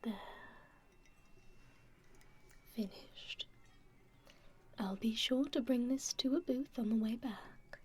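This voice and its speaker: A woman playing a kind, teasing stranger in an intimate ASMR roleplay, speaking gently and unhurriedly in a breathy, whispery voice. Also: on the high side, a little slow. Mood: soothing, caring. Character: kind, teasing female stranger caring for a festival-goer.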